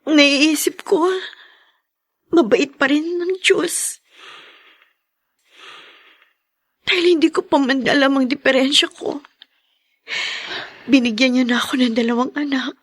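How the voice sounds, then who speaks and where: An actress playing a gentle, distraught young Filipino wife in a radio drama, tearful, halting and pleading. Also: female voice, high-pitched, no room sound. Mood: tearful, fearful.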